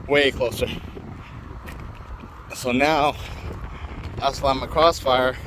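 A man's voice: mid-range voice, takes it slowly, slight background noise, low-quality recording. An older man chatting casually as he walks through an open field at dusk, filming himself with a phone held at arm's length. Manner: relaxed, chatty, colloquial. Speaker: drone hobbyist vlogger. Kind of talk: casual walk-and-talk vlog.

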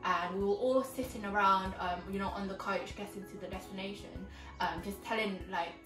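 A woman's voice: mid-range voice, fairly even intonation, moderate pace. Chatty young woman, roomy distant sound. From Vietnam. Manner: conversational, many fillers like "um" and "like". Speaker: co-host. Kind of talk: casual podcast chat. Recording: roomy sound, mic at a distance, faint music.

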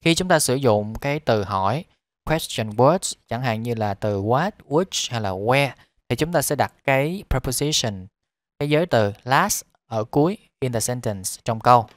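A man teaching English, repeating each phrase slowly and then explaining it quickly. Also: medium pitch, expressive, no echo, studio quality.

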